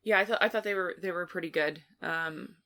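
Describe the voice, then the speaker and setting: Low-pitched woman with a bright voice. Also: a little fast. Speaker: podcast co-host. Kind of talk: casual film review chat.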